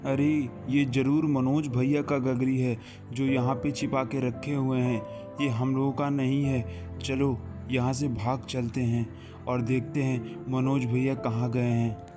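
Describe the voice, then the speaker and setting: An earnest, fearful male traveller in a cartoon ghost story, played by a man, with a middle-pitched, anxious voice. Music is clearly heard behind him. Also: level tone, a little slow, natural voice. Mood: earnest, urgent.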